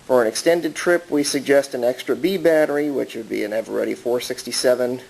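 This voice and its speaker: A man narrating a casual hands-on show-and-tell as a collector, explaining things in a deliberate way. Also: mid-range voice, a little mellow. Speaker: collector showing a vintage radio. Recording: clearly noisy amateur recording.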